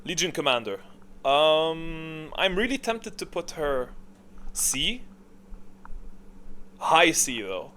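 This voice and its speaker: A man giving casual, off-the-cuff ranking commentary in an informal, opinionated way, often halting to think aloud. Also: fairly high pitch, big pitch swings, bright, forceful tone. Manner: opinionated, informal, mildly profane. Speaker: video creator giving a tier list. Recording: clearly audible background noise.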